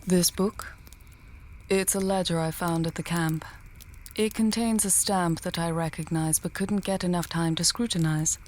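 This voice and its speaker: A woman playing a wry, confident mage and healer in a fantasy audio tale, speaking in a measured, articulate, dryly teasing way. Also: fairly low pitch, steady tone, darkish tone, slight background noise, natural voice. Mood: calm, explanatory. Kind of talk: fantasy audio roleplay, addressing the listener.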